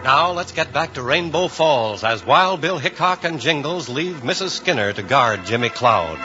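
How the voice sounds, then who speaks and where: A man's voice: mid-range voice, expressive, normal speed, over loud music. A man giving scripted scene-setting narration for a 1950s radio western. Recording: dull old radio recording.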